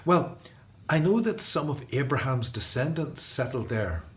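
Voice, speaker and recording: An actor playing an earnest, resolute fugitive prince of ancient Egypt in a radio drama. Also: male voice, mid-range voice, very animated, measured pace. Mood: earnest, determined.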